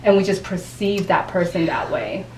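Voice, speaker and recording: A woman's voice: fairly low pitch, animated, moderate pace, darkish tone, a little hiss or hum. A woman with a Japanese accent whose first language is Japanese, explaining speech technique as a guest coach in a conversational interview. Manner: explanatory, teaching tone with frequent restarts. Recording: echoey room.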